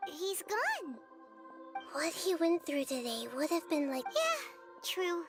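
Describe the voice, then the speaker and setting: A woman voicing small, childlike, gentle fairy-like girls in a fantasy video game, with music behind. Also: very high voice, wildly swooping pitch, slow pace, heavily acted. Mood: thoughtful.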